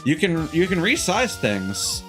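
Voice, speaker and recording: A man's voice: a little high, wildly swooping pitch, a little slow. A man chatting casually as he streams a game, rambling and self-deprecating, with some swearing. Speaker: live streamer. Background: cartoonish upbeat game music.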